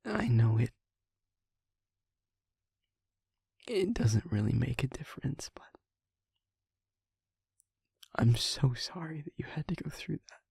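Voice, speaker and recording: A man acting a guilt-ridden, tender-hearted young man in an intimate audio drama, with a breathy, husky voice and a halting, stammering, earnest delivery. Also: medium pitch, warm tone, no room sound, studio quality, full character voice. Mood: gentle, sorrowful. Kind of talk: intimate audio roleplay drama, emotional monologue.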